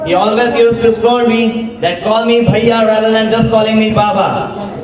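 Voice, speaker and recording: A young man with an Indian English accent and a loud voice, giving a welcome speech at his brother's wedding in a large hall. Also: high-pitched, fairly even intonation, moderate pace. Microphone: distant, picked up from far off. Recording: echoey hall from far off with crowd. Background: guests murmuring.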